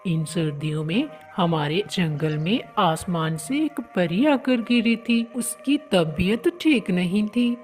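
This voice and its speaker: A woman voicing a kind, helpful young male bird in a children's cartoon, talking in a gentle, earnest, explanatory way. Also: medium pitch. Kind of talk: children's cartoon story dialogue.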